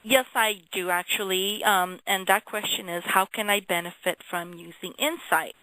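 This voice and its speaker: A woman's voice: mid-range voice, fairly even intonation, moderate pace, bright, forceful tone, no echo, low-quality recording. A woman company representative giving an informational briefing, explaining point by point with some hesitant phrasing. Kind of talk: informational question-and-answer briefing.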